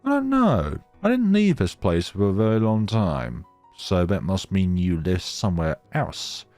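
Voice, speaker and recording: A man voicing a lonely, gentle snow spirit girl in a visual novel, with a wistful, lilting manner. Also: low-pitched, sing-song, measured pace, soft background music.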